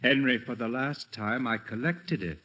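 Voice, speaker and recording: A man's voice: medium pitch, normal speed, bright, forceful tone, dull audio. An actor playing a stuffy, defensive middle-aged American lawyer and father, pompous and lecturing, in a 1940s radio sitcom. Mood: exasperated, defensive.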